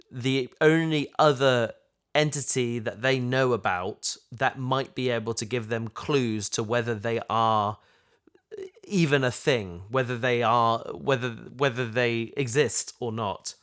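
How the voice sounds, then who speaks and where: Man in casual, halting discussion. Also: mid-range voice, a bit bright, clean tone. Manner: halting, repeats and restarts phrases while thinking aloud. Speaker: writer and host of a sci-fi audio drama discussion.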